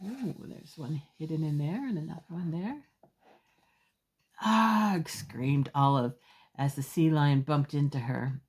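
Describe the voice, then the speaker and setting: Woman, children's storyteller, low voice, slight creak, slow emphatic delivery, expressive read-aloud. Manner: simple, emphatic phrasing with small asides to young listeners.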